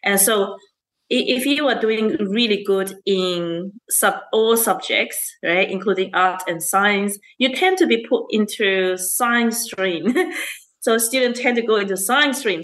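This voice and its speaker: A woman speaks at a middle pitch. She is a teacher answering interview questions. She talks hesitantly, with many fillers, restarts and frequent laughs. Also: fairly bright tone. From Malaysia. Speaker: STEM teacher and councillor.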